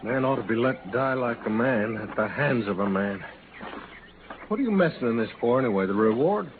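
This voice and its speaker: A man's voice: medium pitch, lively intonation, moderate pace, close to an everyday voice. Man playing a proud frontier outlaw. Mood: defiant, scornful. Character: proud, defiant male Western outlaw, a new father. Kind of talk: 1950s Western radio drama acting. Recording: scratchy, noisy, narrow old radio recording.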